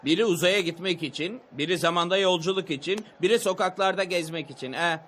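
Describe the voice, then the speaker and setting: A man's voice: high voice, brisk, fairly bright tone, slight background noise. A man playing a boastful, laid-back young car fanatic in a Turkish-dubbed cartoon. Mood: proud, casual.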